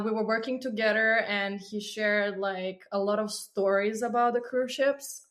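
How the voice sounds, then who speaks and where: Serbian-accented woman guest, friendly, kinda halting, mid pitch. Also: fairly bright tone. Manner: searching for words, halting, friendly. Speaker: guest, illustrator. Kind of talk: podcast interview.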